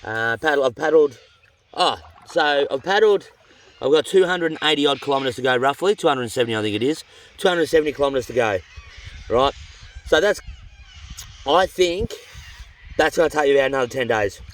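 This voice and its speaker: A man's voice: medium pitch, lively intonation, a little fast, pauses often. A middle-aged Australian man on a long canoe trip, outdoors by a river, talking on in a tired, chatty, rambling way with slang and a slightly runny nose. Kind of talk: rambling casual vlog chat. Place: outdoors by a river among rocky cliffs. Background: birdsong in the bush.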